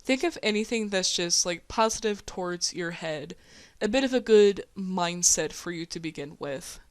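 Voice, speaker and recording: A woman's voice: lowish voice, lively intonation, moderate pace, no room sound. A woman chatting casually, with an encouraging, slangy, informal way of talking. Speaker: host of a casual group chat. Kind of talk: casual chat.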